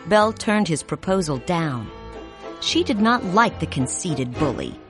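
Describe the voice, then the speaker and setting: A woman reads a fairy tale aloud very slowly, her fairly low voice rising and falling expressively. She speaks clearly and carefully, with music playing clearly underneath. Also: big pitch swings. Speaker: storybook narrator. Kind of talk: slow read-along storytelling.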